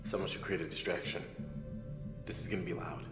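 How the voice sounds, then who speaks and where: A man's voice: low-pitched, steady tone, a little fast, natural voice. A man playing a serious, cautious young guy trapped aboard a ship in a video game. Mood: tense, practical. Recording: echoey hall with game music.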